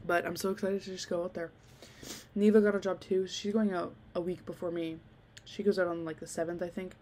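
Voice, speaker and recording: A woman's voice: fairly low pitch, flat delivery, brisk, mellow, slight background noise. A young woman chatting casually into a handheld mic in a dim bedroom, a little nasal from being sick, rambling with lots of ums and likes. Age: young adult. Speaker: podcast host. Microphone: handheld desk mic close to mouth.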